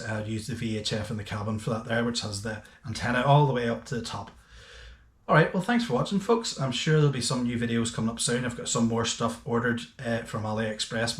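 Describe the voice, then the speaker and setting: Casting: male reviewer, mid-pitched, chatty and matter-of-fact with hesitant phrasing, recorded in a roomy, echoey space. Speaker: host of a boating gadget review channel. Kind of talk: casual unscripted product review.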